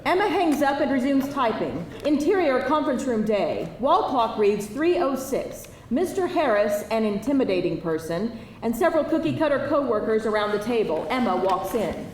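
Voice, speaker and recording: A woman's voice: fairly high pitch, big pitch swings, normal speed, hardly any pauses. A woman narrator reading screenplay stage directions aloud at a live table read. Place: indoor live table read before an audience. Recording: distant mic, echoey room, faint noise.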